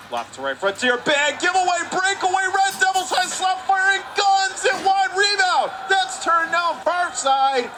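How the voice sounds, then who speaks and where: Male hockey announcer, noisy arena. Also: high-pitched. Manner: rapid calls rising to shouts on big plays. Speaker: radio play-by-play announcer. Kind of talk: live hockey play-by-play. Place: hockey arena broadcast booth.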